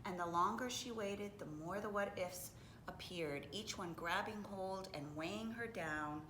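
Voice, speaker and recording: A woman reading a children's story aloud expressively, with deliberate, dramatic phrasing. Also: fairly bright tone. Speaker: storybook reader. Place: indoors, library. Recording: echoey room with faint rumbling noise.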